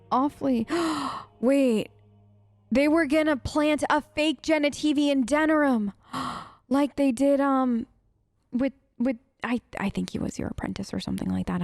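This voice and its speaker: A woman's voice: high voice, a little slow, a little mellow, no echo. A woman streamer role-playing her character in a game, chatty and emotive, with hesitant fillers. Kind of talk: role-play let's play chat.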